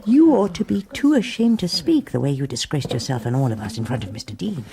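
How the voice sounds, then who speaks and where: A stern nun with a very low voice and lively swings in pitch. She scolds briskly over clear background noise and clinking dishes. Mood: scolding. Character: stern, loyal older nun, a woman.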